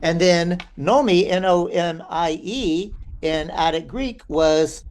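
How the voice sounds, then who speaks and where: A man's voice: a little high, slow, darkish tone. A man leading a participatory online workshop over a video call, inviting people in and thinking aloud with fillers. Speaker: workshop facilitator.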